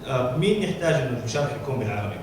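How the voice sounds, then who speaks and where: A man makes a brisk, practical announcement as an organizer, talking quickly and restarting his sentences. He sounds far away in a very reverberant room with a low rumble underneath. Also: sing-song. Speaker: event organizer. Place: large echoey meeting room. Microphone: distant room mic. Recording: echoey distant room recording with noise.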